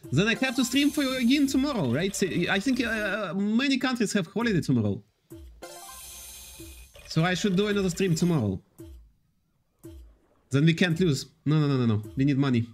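A man live-streaming slot games from a desk, chatting to his viewers in loose, repetitive English. His fairly high voice swings up and down a lot. Quiet game music plays underneath. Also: sing-song, moderate pace, pauses often. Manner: reads and answers chat, loose non-native English with repetitions. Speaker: casino slots streamer.

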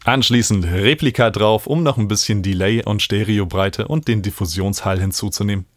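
A man, explaining music software informally as he demonstrates it in a tutorial. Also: on the low side, normal speed. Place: small indoor home studio. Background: electronic music he is working on.

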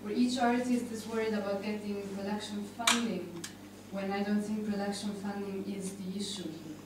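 A woman's voice: mid-range voice, steady tone, moderate pace, far from the mic. Young woman in a noisy, echoey room. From Argentina. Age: young adult. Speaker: panel participant. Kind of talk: informal discussion. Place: indoor conference room. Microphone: handheld microphone.

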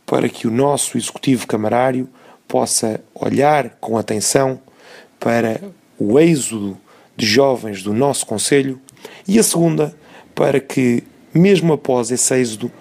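A man delivers a radio opinion column in European Portuguese tones. His pace is unhurried and his mid-pitched voice carries noticeable fry. He phrases formally, in short measured segments. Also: darkish tone. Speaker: opinion commentator. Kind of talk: scripted radio opinion commentary.

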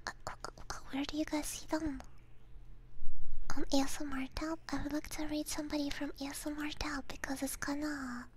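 A woman streaming ASMR, chatting casually to viewers in a soft, breathy whisper close to a binaural mic, repeating short phrases playfully. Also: high voice. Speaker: ASMR livestreamer. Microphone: close binaural ASMR microphone. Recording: close ASMR mic with clear background noise.

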